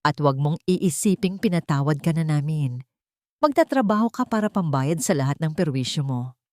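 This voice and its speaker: A woman's voice: low-pitched, lively intonation, moderate pace, a little mellow, dry recording, studio quality. A woman voicing a cruel, scheming older mother in an audio drama story, sneering and commanding as she talks. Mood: mocking, scornful.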